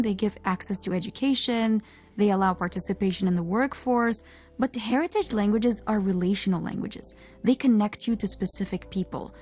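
A woman's voice: mid-range voice, warm tone. A woman from Oman co-hosting a podcast, speaking in an articulate, fluent, composed way. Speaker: podcast co-host. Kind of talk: conversational podcast discussion.

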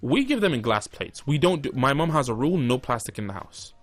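A young man co-hosting a radio show, telling quick stories and jumping in with lively asides. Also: mid-range voice, no echo, faint music behind. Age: young adult. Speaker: radio co-host. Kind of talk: casual radio chat.

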